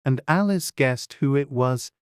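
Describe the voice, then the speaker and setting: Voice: medium pitch, a little slow, smooth, no room sound, studio quality. An audiobook narrator reading a children's classic in a scripted storybook style.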